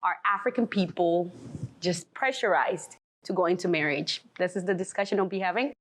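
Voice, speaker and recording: A woman hosting a casual panel discussion, speaking quickly and finishing her guests' sentences. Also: fairly low pitch. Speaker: talk show host.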